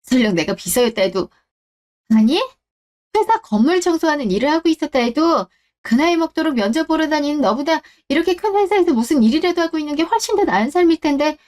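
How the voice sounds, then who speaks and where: Woman doing a fed up proud exec ex wife telling someone off, high voice, radio drama. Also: a little mellow. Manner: scolding, cutting, defiant. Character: proud, scornful ex-wife, now a company executive. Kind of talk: Korean radio-drama story acting.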